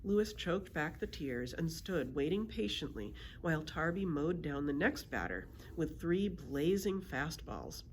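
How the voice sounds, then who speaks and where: A woman reading a children's storybook aloud as a librarian, in an expressive storytelling manner. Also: deep voice, unhurried, hardly any pauses, a little hiss or hum, low-quality recording.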